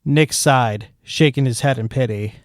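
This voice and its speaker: A man narrating a fan fiction chapter aloud in steady storytelling style. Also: medium pitch, measured pace, slight background noise.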